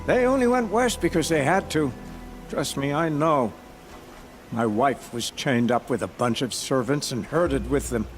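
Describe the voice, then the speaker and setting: A righteous, embittered game outlaw, voiced by a man, blunt and plain-spoken. His voice is mid-pitched, with music clearly behind it. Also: expressive, unhurried, lightly acted. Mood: bitter. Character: bitter, righteous male outlaw who robs the rich. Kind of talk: video game acting.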